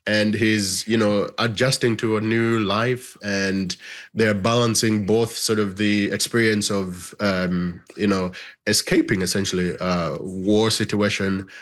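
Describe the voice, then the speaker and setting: A man's voice: on the low side, level tone, unhurried. A man from Uganda, a documentary filmmaker, giving thoughtful interview answers and often checking in with "right?".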